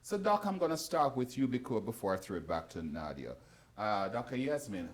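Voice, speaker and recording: A man hosts a talk show with a low voice that rises and falls with lively, animated intonation. He leads the conversation, puts questions to his guests and cuts in to push back on their points. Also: fairly quick.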